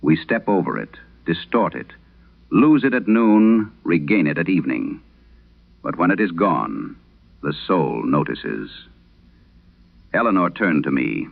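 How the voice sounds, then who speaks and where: Man acting aging exorcist priest, Irish, very low voice, solemn horror radio monologue, haunted, close dry sound, muffled lo-fi. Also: big pitch swings, gravelly, no room sound, heavily acted. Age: older. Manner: solemn, measured, confessional storytelling. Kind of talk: dramatic first-person horror radio monologue. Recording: dull lo-fi vintage radio sound.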